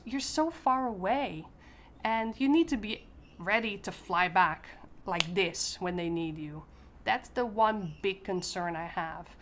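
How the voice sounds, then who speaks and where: A woman speaks in an upbeat, conversational way at a middle pitch, as a parent talking to camera. Faint background noise sits under her voice. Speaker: parent interviewee. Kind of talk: casual talk to camera.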